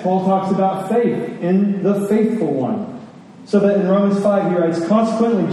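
A man's sermon carries through a very echoey hall, picked up at some distance from the microphone. His voice sits a little high with a soft, mellow tone. He teaches earnestly, leaning on rhetorical questions and repeated phrases for emphasis. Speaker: preacher. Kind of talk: sermon, teaching scripture to a congregation. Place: large reverberant room. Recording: echoey hall, distant mic, faint noise.

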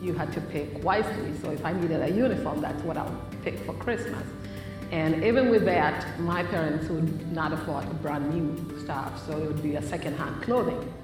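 A woman's voice: low-pitched, moderate pace. A woman from Kenya, telling a reflective, heartfelt personal testimony. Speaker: testimony speaker in a church video. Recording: video played in echoey hall, distant, with music.

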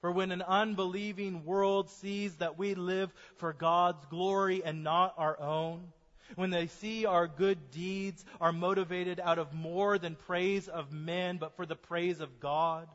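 A high-voiced male pastor delivers an earnest sermon and draws out his key phrases. His voice carries a long reverb from the church sanctuary, and the recording sounds dull and muffled. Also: steady tone, fairly bright tone. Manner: earnest preaching, slows for emphasis.

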